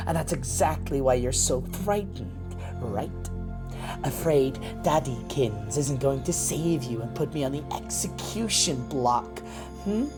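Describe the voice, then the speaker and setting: A woman voicing a cocky, gruff but motherly lycan mercenary in an audio drama, with a teasing, sardonic drawl. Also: deep voice. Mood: mocking, teasing. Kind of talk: scripted audio roleplay drama.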